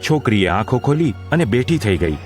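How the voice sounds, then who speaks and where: A man's voice: mid-range voice, big pitch swings, normal speed, over music, close to an everyday voice. A man narrating a gospel audio drama in a formal, solemn storytelling style.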